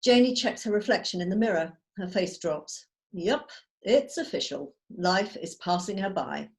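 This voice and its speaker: A woman reading out screenplay stage directions as measured, storytelling narration. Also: medium pitch, big pitch swings, a little mellow. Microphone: video call.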